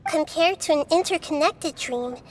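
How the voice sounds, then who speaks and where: Woman voicing a high, girlish, wise little goddess. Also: natural voice, low-quality recording. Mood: explanatory. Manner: measured, articulate, explanatory. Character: small childlike goddess of wisdom, calm, gentle, thoughtful. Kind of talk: video game voice acting.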